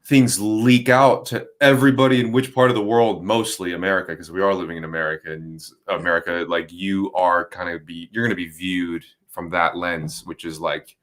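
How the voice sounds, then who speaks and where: Low-voiced man rambling through casual chat. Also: steady tone, normal speed, few pauses. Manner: loose, rambling and searching for words. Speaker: podcast guest.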